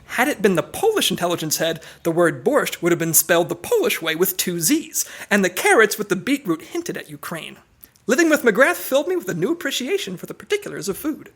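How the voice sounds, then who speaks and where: A woman's voice: medium pitch, sing-song, brisk. A woman playing an earnest, quirky secret agent in a comedic audio drama.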